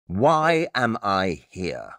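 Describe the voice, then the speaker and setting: A man's voice: lowish voice, wildly swooping pitch, very slow, fairly bright tone, slight background noise, heavily acted. A man playing a blunt, sceptical detective in an audio drama, with a dry wit and theatrical manner.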